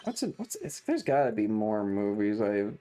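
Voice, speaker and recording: An animated streamer talks casually through a game in a sarcastic, sweary way. The pitch swings around a lot, and faint music plays behind the voice. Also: moderate pace. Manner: sarcastic, profane.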